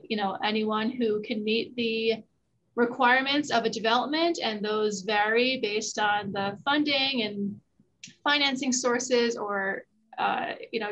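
A woman in her thirties, a housing agency official, explains policy over a video call in a measured way with many filler uhs. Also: mid-range voice, fairly bright tone, poor audio. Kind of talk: answering questions in a virtual town hall. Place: high-rise office, indoors.

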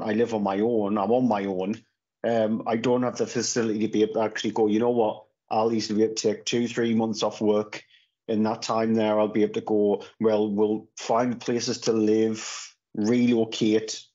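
A man's voice: lowish voice, darkish tone. A man, chatting casually on a podcast and rambling through restarts and fillers, recorded from his phone on a video call at home. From Northeast England. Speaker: podcast co-host. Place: small room at home, indoors. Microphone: phone camera on a video call. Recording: slightly dull lo-fi call audio.

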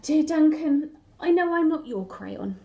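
A woman's voice: sits high, animated, a little slow, dark tone. A woman voicing a desperate, worn-out toddler crayon from a storybook, complaining and pleading.

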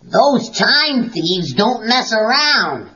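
A wisecracking teenage boy hero for a comic-book cassette. His voice is very high and slow, with big swings in pitch. He drawls in an exaggerated, easygoing way. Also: very animated, pushed and bright, exaggerated voice. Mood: wry. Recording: dull cassette, music behind.

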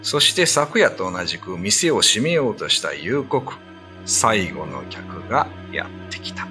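A man's voice: fairly low pitch, big pitch swings, measured pace. A man narrating a ghost story in a dramatic radio drama reading. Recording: music under the voice. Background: background music.

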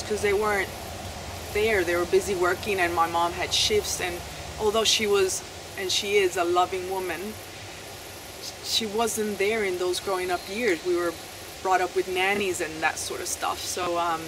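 A woman in her thirties from Chile, speaking softly and haltingly with many fillers and restarts as she tells her personal story, seated outdoors in a garden. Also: fairly low pitch. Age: 30s. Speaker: interviewee sharing her testimony. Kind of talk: personal testimony, unscripted. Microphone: camera mic at a few meters, seated. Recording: outdoor noise behind the voice.

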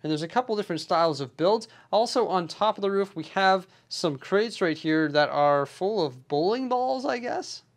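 A man's voice: high-pitched, lively intonation, moderate pace, few pauses. Upbeat man reviewing a building set. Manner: enthusiastic but nitpicky, explains while handling pieces. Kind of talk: hands-on product review.

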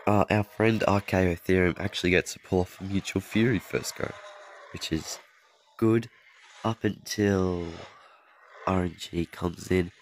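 A man's voice: low voice, steady tone, unhurried, slight background noise. A young man casually commenting on a mobile game he plays, rambling and correcting himself, with his voice a little off from being sick. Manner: rambling, self-correcting, chuckles often. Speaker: mobile game channel host. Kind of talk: gameplay commentary over a screen recording.

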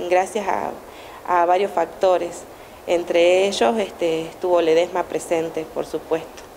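A woman's voice: medium pitch, vocal fry, darkish tone, rough recording. Teacher lady, 30s, lots of uhs and restarts, close handheld mic indoors, bit of background hum. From Argentina. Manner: hesitant, many fillers and restarts. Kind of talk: news interview answers. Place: indoor room at a school event. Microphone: handheld TV station microphone held close.

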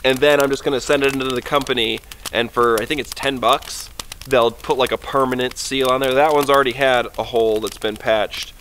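Young male outdoor vlogger, relaxed rambling delivery, recorded by a camera a few meters off. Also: medium pitch, a little fast, slight background noise. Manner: chatty and easygoing, rambling with fillers. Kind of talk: casual rambling talk to camera. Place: snowy forest campsite at night, outdoors by a fire. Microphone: camera on a tripod a few meters away.

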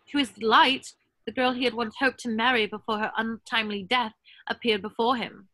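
A woman's voice: fairly high pitch, pushed and bright, dry recording, poor audio. A woman playing an earnest, bookish young witch in a scripted table read over a video call. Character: bookish, earnest young witch reading a dark fairy tale.

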